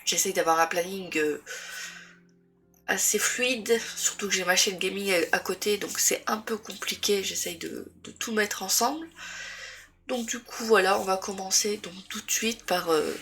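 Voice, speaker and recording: A woman's voice: mid-range voice, normal speed, a bit bright. A woman with a cold and a sore throat, chatting casually about books in a vlog, rambling with lots of fillers and restarts. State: congested with a cold. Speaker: booktuber. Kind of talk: casual book review vlog.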